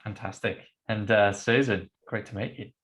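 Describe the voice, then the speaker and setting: Host, man, low-ish voice, upbeat and brisk, video call. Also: steady tone, a bit bright. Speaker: webinar host.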